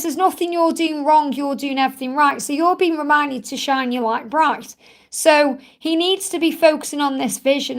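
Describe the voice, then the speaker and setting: Croaky woman doing a live card reading, gravelly and high, calm and reassuring, says things twice. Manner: soothing and reassuring, repeats phrases often. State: croaky, tired voice from much talking. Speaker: tarot card reader and live-stream host.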